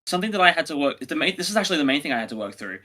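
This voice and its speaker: A man's voice: on the high side, very animated, rapid-fire, sharp tone. A man co-hosting a review podcast, chatting casually and giving his opinions with emphatic phrasing. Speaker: podcast co-host reviewing audio dramas.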